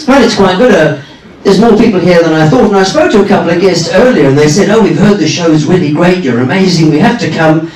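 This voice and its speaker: A man's voice: high-pitched, a little fast, a little mellow. An older man from Zimbabwe, a cruise director and musician, chatting and joking with a lounge audience between songs. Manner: self-deprecating, joking with the audience. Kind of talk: live stage patter between songs. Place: ship's lounge stage. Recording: roomy, from a distance.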